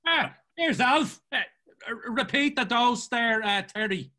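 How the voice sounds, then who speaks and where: An actor with an Irish accent playing a jaunty Dublin drinker who trades gossip, read over a video call. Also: male voice, very high voice, animated, measured pace, sharp tone, heavily acted. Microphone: video call webcam.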